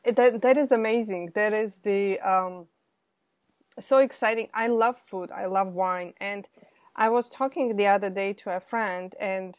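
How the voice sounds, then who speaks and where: A woman's voice: medium pitch, a little slow, no echo. A woman hosting a casual interview, chatty and enthusiastic. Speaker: interview host.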